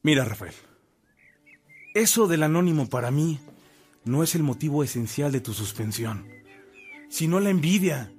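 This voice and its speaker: A devoted friend in a radio play. His voice sits a little high with a husky grain. He argues emphatically, like an orator, over a soft music bed. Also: expressive, moderate pace, long pauses. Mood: earnest, indignant. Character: Enrique Guillén, loyal, supportive old friend of a priest. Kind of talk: Spanish-language radio drama acting.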